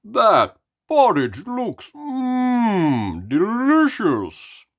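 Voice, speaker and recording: Woman as jolly father pig, very drawn-out. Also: a little high, wildly swooping pitch, voice pushed up, exaggerated voice. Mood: admiring. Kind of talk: read-aloud children's storybook voice.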